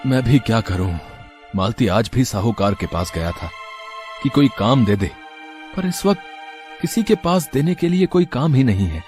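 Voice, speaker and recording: A man playing a poor, disheartened village husband in an animated moral story, with a weary, plaintive manner. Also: mid-range voice, normal speed, lightly acted. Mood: doubting, dejected. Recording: music under the voice.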